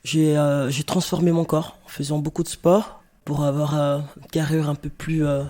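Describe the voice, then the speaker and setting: A woman's voice: low-pitched, moderate pace, soft background music. A woman in her thirties, an artist and DJ, speaking directly and easily about her life in an interview, with frequent fillers. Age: 30s. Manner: relaxed and direct, with many fillers. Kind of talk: personal testimony in an interview.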